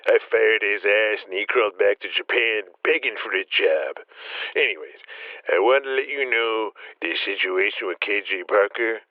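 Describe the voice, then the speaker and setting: A man's voice: lowish voice, moderate pace, no room sound. An actor playing a blunt, assured, profane male wrestling promoter in an audio drama, talking through a telephone filter. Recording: tinny phone line.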